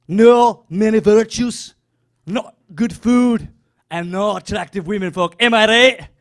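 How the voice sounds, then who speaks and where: A man performing rowdy medieval-themed stand-up in a put-on Scottish accent, shouting a rally speech at a crowd. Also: high voice, a little slow. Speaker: comedy performer.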